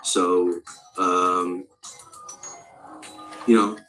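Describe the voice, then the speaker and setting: A male speaker of around forty from Australia, practising an American accent in a rambling, halting, candid and sweary casual monologue. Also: deep voice, level tone, sharp tone, faint music behind. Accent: American, put on over native Australian. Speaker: actor introducing themself.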